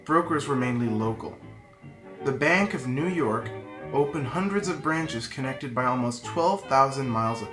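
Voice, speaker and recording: Male newsreel narrator, scripted read in an echoey room with guitar music clearly underneath. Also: medium pitch.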